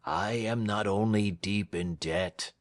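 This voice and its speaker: A moody, fretful ruined gentleman, read by a man, low and slow with a brooding edge. His lines are quiet and impatient.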